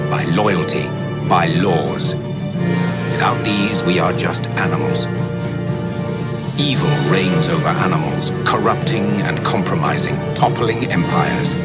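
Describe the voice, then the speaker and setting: An actor playing a solemn, zealous Templar recruiter in a video game, speaking with grave, commanding, deliberate oratory. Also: male voice, deep voice. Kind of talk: dramatic scripted game monologue.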